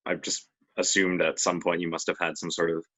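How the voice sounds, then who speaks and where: A man from Canada hosting a podcast, asking relaxed questions in casual chat. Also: deep voice, a little fast, breathy edge. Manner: relaxed, asks questions with short agreeing replies. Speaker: podcast host. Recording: dull lo-fi audio.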